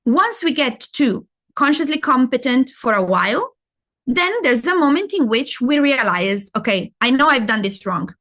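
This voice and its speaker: A woman's voice: high voice, big pitch swings, normal speed, a bit bright. A middle-aged woman from Italy with an Italian accent, giving a live masterclass and explaining step by step, asking and answering her own questions. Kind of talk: interactive talk on learning.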